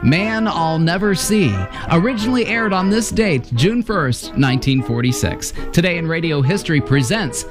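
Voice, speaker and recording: A man's voice: on the high side, animated, normal speed, hardly any pauses. A man hosting, reading scripted narration. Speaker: podcast host. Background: music.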